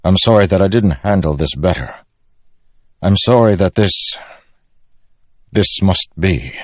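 A man voicing a stern, weary older uncle of a king in a fantasy audiobook, firm and measured. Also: low-pitched, lively intonation, no echo, studio quality, pitched above the performer's own voice. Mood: regretful. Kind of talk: fantasy audiobook character acting.

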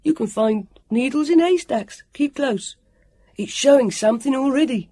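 A woman voicing an excitable, boastful young boy in an audiobook, calling out loud and eager. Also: high-pitched, moderate pace, vocal fry, dry recording, studio quality, voice pushed up, exaggerated voice. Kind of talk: audiobook character voice.

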